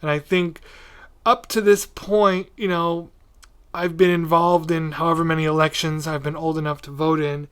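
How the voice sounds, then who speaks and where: A man hosting a podcast, chatting casually in a tentative, agreeable, halting way. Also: a little high, little pitch movement, fairly bright tone, clean tone. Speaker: podcast host. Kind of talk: casual interview chat.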